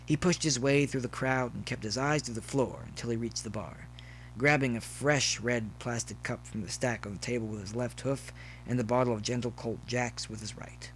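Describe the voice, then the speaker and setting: A man's voice: medium pitch, fairly even intonation, moderate pace, a bit bright, poor audio. A man reading scripted story narration as the narrator. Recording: faint noise behind the voice.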